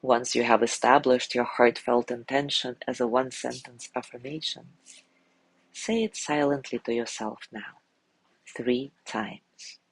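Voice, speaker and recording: A man guiding a sleep meditation in a gentle, soothing way, softly spoken and unhurried, with long pauses between phrases. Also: mid-range voice, steady tone, gravelly, husky, rough recording. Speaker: meditation guide.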